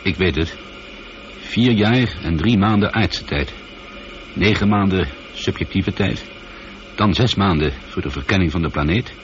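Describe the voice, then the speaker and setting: A man's voice: low-pitched, a little slow. An actor playing a lone male astronaut in a radio drama, wry and musing, slightly uneasy. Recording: dull, slightly noisy old radio recording.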